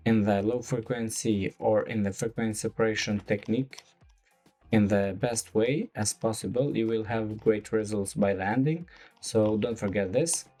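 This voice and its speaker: A non-native English-speaking man explaining a photo retouching process step by step, with frequent "uh" fillers and halting phrasing. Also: fairly low pitch, a little mellow. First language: not English. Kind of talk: screen-recorded software tutorial.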